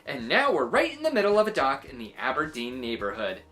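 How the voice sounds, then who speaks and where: Eccentric aunt, acted by a woman, excitable tall-tale teller for a children's story, very animated, emphatic. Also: rumbling low, soft background music, heavily acted. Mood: improvising. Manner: dramatic, emphatic, drawn-out delivery.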